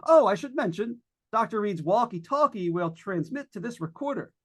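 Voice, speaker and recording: A breezy, wisecracking announcer from an old-time radio drama played by an actor. The pitch swings widely in a folksy, jokey patter addressed to listeners at home. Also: expressive, normal speed, close to an everyday voice. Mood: brisk, chatty. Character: chatty, wisecracking radio announcer hosting a live ghost hunt. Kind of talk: 1940s radio drama acting.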